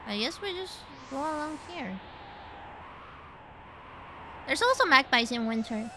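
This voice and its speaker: A woman from Chile chatting casually and excitedly while streaming a game, with the odd swear. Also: high voice, unhurried, noisy background. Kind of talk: casual gaming livestream chat.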